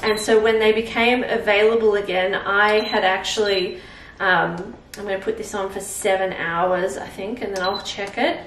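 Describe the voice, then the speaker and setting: A woman's voice: medium pitch, steady tone, moderate pace, fairly bright tone, recorded from a distance, a little hiss or hum. A woman in her thirties with an Australian accent, chatting casually in a rambling way while cooking at home in her kitchen. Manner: rambling, chatty, thinking aloud with fillers. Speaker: vlogger. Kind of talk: casual cook-along vlog chat. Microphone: camera mic a few feet away. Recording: roomy kitchen echo, voice from off-mic.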